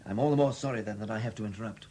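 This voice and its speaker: An actor playing a courteous, methodical police superintendent in a 1970s radio drama, questioning in a polite, measured, formal way. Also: male voice, lowish voice, mellow, natural voice. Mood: courteous, gentle. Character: courteous, methodical male London detective superintendent. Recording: noisy old 1970s radio recording.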